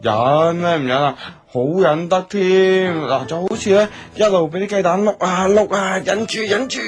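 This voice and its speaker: Guy doing a whiny naive kid brother in a radio play, highish voice, guitar music behind. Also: moderate pace, lightly acted. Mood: earnestly questioning. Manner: whiny, simple-minded, earnest. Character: naive, childlike younger boy who adores his big brother. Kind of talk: Cantonese audio drama acting.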